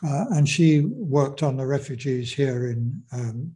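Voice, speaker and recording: Soft-spoken male lecturer answering questions. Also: mid-range voice. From Britain. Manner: hesitant, measured, many fillers.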